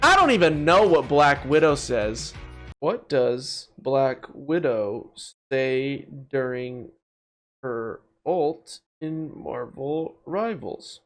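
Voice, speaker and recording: A man's voice: medium pitch, slow, soft background music. A young man giving casual, opinionated, joking commentary as he ranks things in a gaming video. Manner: animated, joking, mildly profane.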